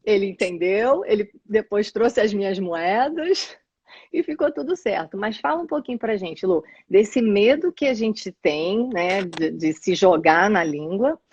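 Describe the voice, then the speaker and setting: Husky-voiced woman host, dull dry sound. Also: mid-range voice, animated, darkish tone, no echo. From Brazil. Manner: storytelling, halting with restarts. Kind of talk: casual live chat.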